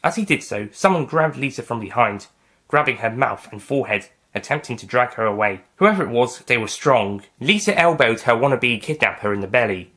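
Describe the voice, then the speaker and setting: A man's voice: medium pitch, lively intonation, fairly quick, pushed and bright. A man reading a scripted science-fiction adventure story as its author and narrator.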